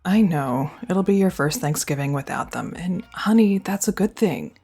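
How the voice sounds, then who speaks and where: A woman's voice: lowish voice, animated, normal speed, mellow, slightly rough, soft background music. A young woman performing a scripted girlfriend roleplay, speaking close to the mic in an affectionate, teasing and reassuring way.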